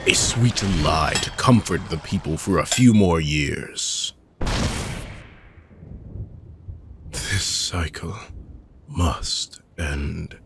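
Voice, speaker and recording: A man voicing a weary ancient dark mage villain in a fantasy roleplay audio, speaking in a slow, measured way that drifts into hushed whispers. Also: deep voice, slight background noise. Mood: weary, menacing.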